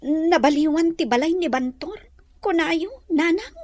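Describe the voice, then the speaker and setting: A woman's voice: extremely high pitch, animated, a little slow, darkish tone, no room sound. A woman playing a troubled, hesitant grown daughter with a baby in a radio drama, speaking plaintively. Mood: wondering. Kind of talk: Ilocano radio drama acting.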